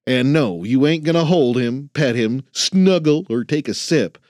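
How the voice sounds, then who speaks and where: A man's voice: medium pitch, expressive, unhurried, a little mellow, no echo, studio quality, voice pushed up, close to an everyday voice. A man voicing a grumpy old male janitor who talks bluntly in folksy slang. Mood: gruff.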